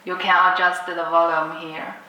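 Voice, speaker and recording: A young woman giving an unscripted product demonstration in short, simple, halting phrases. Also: fairly low pitch, flat delivery, dull audio. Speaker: product demonstrator for an electronics brand. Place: indoor room at a table with green backdrop. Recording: roomy echo, clearly noisy background.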